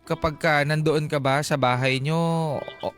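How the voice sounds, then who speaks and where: A man's voice: fairly high pitch, little pitch movement, moderate pace, fairly bright tone. Filipino male radio host over background music. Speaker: radio advice show host. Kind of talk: conversational advice interview, code-switching. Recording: radio broadcast with music underneath.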